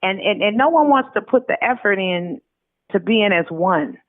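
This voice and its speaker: Over a narrow, tinny phone line, a woman answers interview questions casually. She is emphatic, repeats her points and voices imagined remarks as she goes. Her pitch is middling and the line is dry. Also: lively intonation, moderate pace, no room sound. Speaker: interview guest, author. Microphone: telephone call.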